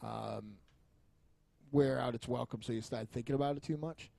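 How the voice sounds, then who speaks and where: A man rambles through casual podcast chat with a joking, foul-mouthed manner. His voice is dark and relaxed with plenty of creak, and he sounds close and dry. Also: mid-range voice, moderate pace, dry recording. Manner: profane, joking. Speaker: podcast co-host.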